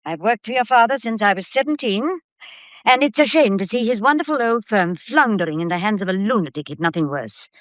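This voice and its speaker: An actress playing an agitated, loyal longtime secretary in a radio drama, flustered, indignant and confiding. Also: female voice, medium pitch, lively intonation, fairly quick, dry recording, close to an everyday voice. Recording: dull, muffled radio play recording.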